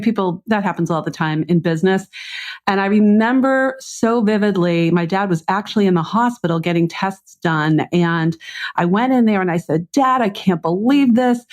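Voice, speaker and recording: A woman's voice: mid-range voice, darkish tone. A middle-aged woman with a Boston accent, telling personal stories conversationally as a podcast guest. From Boston, United States.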